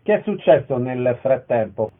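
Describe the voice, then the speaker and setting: A man's voice: mid-range voice, animated, normal speed. A man working as a radio interviewer, introducing guests and putting short questions to them. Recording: thin, phone-like band.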